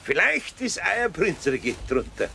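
An actor playing an older master carpenter with a Bavarian accent, good-natured and slyly teasing, in a children's radio play. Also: male voice, high-pitched, sing-song, measured pace, gravelly, bright, forceful tone, slight background noise, exaggerated voice.

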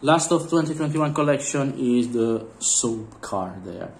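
A man with a cold talks in a hoarse voice, pausing often and clearing his throat. His non-native English comes slowly. The room echoes and faint noise sits behind him. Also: mid-range voice, expressive. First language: Italian. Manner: halting, many fillers and throat clearing. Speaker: vlogger. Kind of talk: casual unboxing commentary. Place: indoors at a table.

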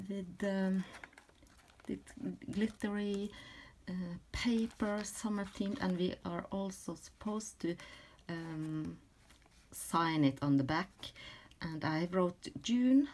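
A middle-aged woman from Norway with a Norwegian accent chats casually and haltingly as she presents her handmade craft work, searching for words in English as a second language. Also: mid-range voice, a little mellow, a little hiss or hum. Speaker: crafter presenting a journal flip-through. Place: indoors at a craft table.

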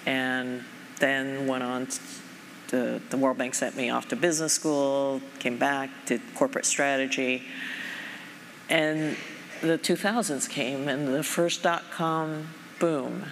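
A woman's voice: rumbling low, gravelly. A middle-aged woman from Japan, head of a foundation, talking thoughtfully onstage with many fillers. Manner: thoughtful, measured, frequent fillers. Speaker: foundation president. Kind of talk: onstage conversation. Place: large conference stage. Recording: reverberant hall, faint noise.

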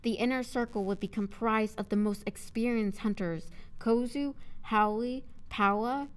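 A woman's voice: on the high side, steady tone, measured pace, clean tone. A woman voice actor reading scripted audio drama narration, with casual chat between takes.

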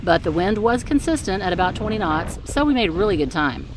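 A woman giving calm, measured scripted voice-over narration for a family sailing vlog. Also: medium pitch, noisy background. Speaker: vlogger narrating a sailing trip.

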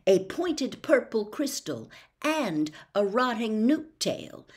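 A woman in her sixties reading a children's novel aloud with theatrical, expressive, deliberate phrasing, recorded at home. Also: medium pitch. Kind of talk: dramatic audiobook-style narration of a children's novel. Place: small bedroom at home.

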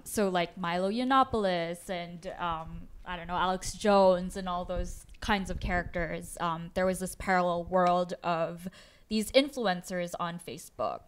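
Clear-voiced Filipina woman thinking aloud. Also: lowish voice. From the Philippines. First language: Tagalog. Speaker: guest journalist. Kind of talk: conversational on-stage interview answers. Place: auditorium stage. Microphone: handheld microphone held at chest.

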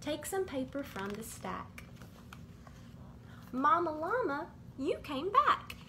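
A middle-aged woman reading a rhyming children's picture book aloud in a measured, sing-song way, as bedtime stories from a bedroom. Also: high voice, sing-song, sharp tone. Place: small indoor bedroom. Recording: clearly noisy amateur home recording.

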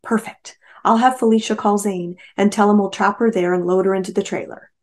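A woman voicing a guarded, brisk female animal rescuer in a novel reading. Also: mid-range voice, fairly even intonation, natural voice.

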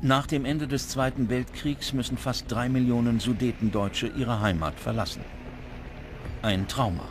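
A man's voice: medium pitch, steady tone, moderate pace, noisy background. A man reading scripted documentary narration as narrator. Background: music under the voice.

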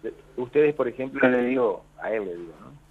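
A man's voice: medium pitch, animated, normal speed. Argentine man on a tinny phone line. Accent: Argentine Spanish. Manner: rambling, many restarts and quoted retorts. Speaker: union leader guest. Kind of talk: phone-in radio interview. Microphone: telephone.

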